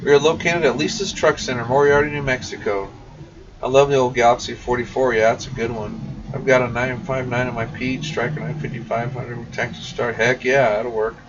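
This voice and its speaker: A man's voice: medium pitch, flat delivery, a little fast. A man hosting a casual shop livestream, reading and answering viewer comments in a folksy, informal way. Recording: muffled, distant mic with faint noise.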